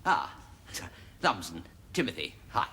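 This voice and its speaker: A man's voice: sits low, wildly swooping pitch, measured pace, full character voice. A man playing a fussy, flustered middle-aged bachelor son who dithers and apologises with giggly asides. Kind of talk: sitcom acting. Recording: sitcom track with loud noise.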